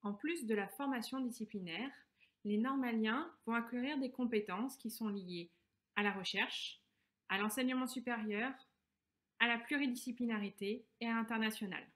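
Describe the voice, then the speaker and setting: Woman reading a presentation, high voice. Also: moderate pace, a bit bright. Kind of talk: scripted presentation.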